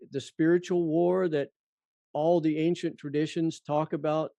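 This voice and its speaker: A man's voice: on the high side, warm tone, dry recording. A man interviewing in a conversational way, musing earnestly and feeling his way through his questions.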